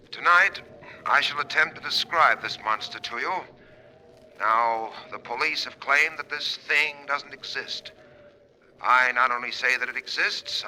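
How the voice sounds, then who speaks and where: A man's voice: medium pitch, sharp tone, a little hiss or hum, low-quality recording. A man playing a dramatic radio broadcaster in a 1940s radio thriller, with a theatrical, suspenseful delivery. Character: bold male radio broadcaster, dramatic, seized by terror. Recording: vintage 1940s radio recording.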